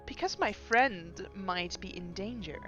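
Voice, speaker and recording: A woman's voice: mid-range voice, expressive, a little slow, a bit bright, lo-fi sound, faint music behind. A woman voicing an elegant kobold trickster. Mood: wry. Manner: elegant, smooth, mock-wounded. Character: evasive, wry fey-pact trickster in kobold form.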